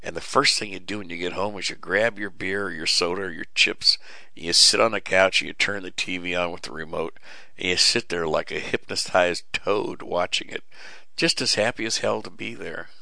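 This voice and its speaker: A middle-aged man with a very low, gravelly voice that carries a bright, hard edge, rambling at listeners in a folksy, preachy way with many fillers and restarts. His voice is starting to give way after long talking. The sound is dry and close, from a studio mic. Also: steady tone, moderate pace, no room sound. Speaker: radio show host. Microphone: studio mic with cough button.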